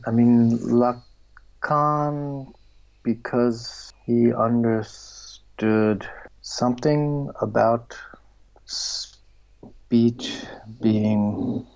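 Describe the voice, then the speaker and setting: A middle-aged man talks about his work in a slow, reflective drawl, hunting for words and often starting over. His voice sits low and dark, and some phrases sink into a breathy whisper. The recording is dull and lo-fi. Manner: halting, searching, many restarts, trails into a soft whisper. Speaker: filmmaker and writer. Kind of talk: reflective interview answers.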